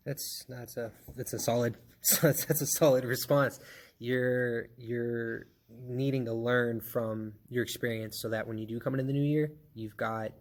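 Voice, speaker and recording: A man's voice: mid-range voice, fairly even intonation, measured pace. Easygoing chatty man in his thirties. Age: 30s. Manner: easygoing, chatty, laughs easily, swears casually. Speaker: podcast host. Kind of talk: casual podcast chat.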